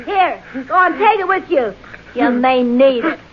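A sharp-tongued, scheming nag in old radio drama. Her voice is very high and lively, bossy and full of scorn. The sound is dull with clear background noise. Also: unhurried. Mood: contemptuous. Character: scornful, nagging, scheming femme fatale.

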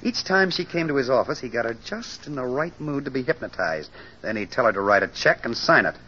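A shrewd sleuth in an old radio mystery. The man's voice is sharp and lively, giving clipped, sardonic commands. The vintage recording is muffled, with faint noise. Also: medium pitch, a little fast. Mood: accusing, sardonic. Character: hard-nosed, shrewd amateur sleuth confronting a killer. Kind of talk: 1940s-50s radio detective drama acting.

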